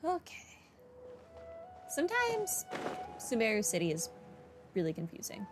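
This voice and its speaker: A woman's voice: fairly high pitch, huge pitch swings, unhurried. A woman gamer giving casual playthrough commentary, musing to herself as she goes. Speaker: video game streamer. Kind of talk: casual playthrough commentary, some reading aloud. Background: game music.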